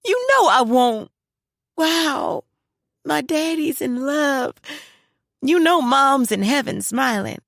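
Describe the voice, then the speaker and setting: A woman voicing an excitable grown daughter who gushes and squeals on the phone. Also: high-pitched, slow, creaky, long pauses, no room sound, clean studio sound, pitched above the performer's own voice, heavily acted. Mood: gushing. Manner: squealing, teasing, emotional.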